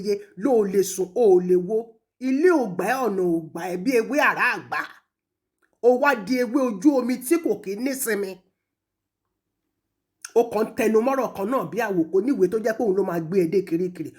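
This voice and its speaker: A Nigerian woman with a fairly high voice speaks in a confrontational monologue aimed at someone absent. Her delivery is emphatic, scolding and openly taunting, as an online commentator calling someone out. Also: a little mellow. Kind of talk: confrontational monologue addressed to an absent person, mixing two languages.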